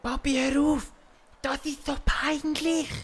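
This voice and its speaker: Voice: sits high, little pitch movement, takes it slowly. A performer playing a sulky, easily embarrassed young boy in a comic audio play, whiny and exasperated. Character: Walti, embarrassed, sulky young son of a hero.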